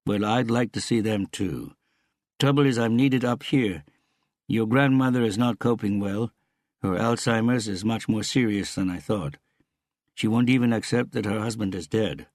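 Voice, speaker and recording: A man reading an audiobook as a worried, weary older father, warm and matter-of-fact in manner. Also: on the low side, moderate pace, no echo, studio quality, pitched above the performer's own voice. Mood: warm, concerned.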